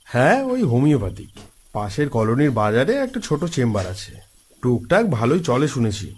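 A man voicing an easygoing young village host in a cartoon story, animated and conversational. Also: medium pitch, normal speed, breathy edge. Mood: casual, evasive. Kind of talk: cartoon story dialogue, casual.

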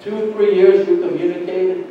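A man giving a personal testimony in church in a conversational, halting storytelling way, acting out bits of dialogue. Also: sits high, level tone. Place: large church sanctuary, indoors. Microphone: far camera at back of the room. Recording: echoey church hall, distant mic, muffled, noisy.

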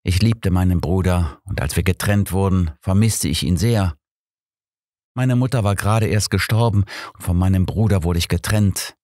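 A man's voice: low voice, moderate pace, a little mellow, no room sound, clean studio sound, lightly acted. A man voicing an earnest, sorrowful businessman in an audiobook, polite and explanatory in manner. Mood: sad. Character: earnest, sorrowful businessman from abroad, protesting innocence.

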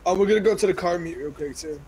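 A man's voice: sits high, racing, a little mellow. A man chatting casually in slangy, profane language as he plays an online roleplay game. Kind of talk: casual gaming chat. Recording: noisy game audio with engine sounds. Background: game car noise.

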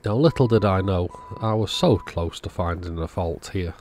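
A man, host of an electronics repair video, calmly thinking aloud as he methodically works on a radio at his workbench. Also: sits low, normal speed, a little hiss or hum. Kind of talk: casual narrated repair walkthrough.

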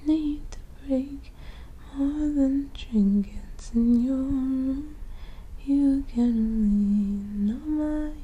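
Very soft, high-voiced woman singing sleepily. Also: extremely slow, dull audio. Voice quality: soft, breathy. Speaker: ASMR performer.

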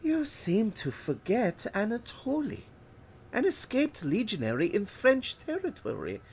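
A man voicing a calm, indifferent woman, the circus owner's wife, speaking in a hushed, half-whispered way. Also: high voice, measured pace, slight background noise, pitched above the performer's own voice, full character voice. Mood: cool.

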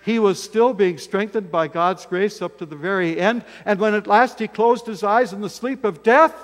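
A man's voice: high voice, normal speed. Older male pastor with church reverb. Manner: folksy, self-deprecating, emphatic. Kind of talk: sermon. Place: church sanctuary at a pulpit. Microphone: fixed camera from across the church.

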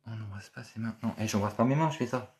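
Gravelly, low-voiced man chatting on a live stream. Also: a little mellow, slight background noise. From France. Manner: chatty, repeats himself, many filler pauses. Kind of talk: casual live-stream chat, reading and answering viewer comments. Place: small office indoors. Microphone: phone camera at arm's length.

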